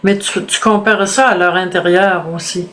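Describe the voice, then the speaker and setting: A woman's voice: on the low side, steady tone, moderate pace, a bit bright. A woman who hosts the interview, asking hesitant questions with many fillers. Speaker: host. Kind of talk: casual interview talk.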